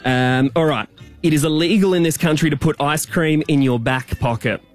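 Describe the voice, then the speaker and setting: A man's voice: fairly high pitch, normal speed, soft background music. Upbeat man hosting a radio quiz. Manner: upbeat, quizmaster patter. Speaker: radio co-host running a quiz.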